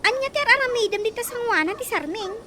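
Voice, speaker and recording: A woman's voice: extremely high pitch, lively intonation, fast talker, bright, forceful tone. A woman in an Ilocano radio comedy drama, chatty and quizzical. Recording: noisy background hiss.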